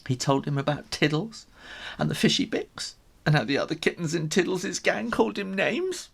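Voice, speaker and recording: A man reading a children's story aloud in an animated, dramatic, drawn-out storyteller's manner. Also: on the high side, vocal fry, breathy edge.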